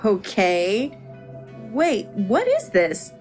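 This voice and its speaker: A woman's voice: medium pitch, huge pitch swings, extremely slow, darkish tone, soft background music, exaggerated voice. Prim, haughty sheltered young woman. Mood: curious, teasing. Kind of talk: audio drama acting.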